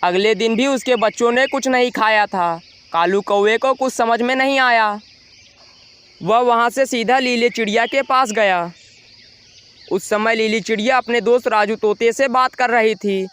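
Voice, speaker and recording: A man with an extremely high, clean and smooth voice. He reads a children's moral story as a scripted narrator. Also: measured pace, a bit bright.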